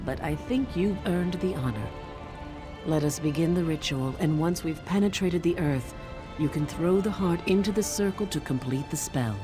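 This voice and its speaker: An actress playing a wry, earthy forest witch in a video game, with a casual, teasing manner. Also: low voice. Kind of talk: video game character dialogue.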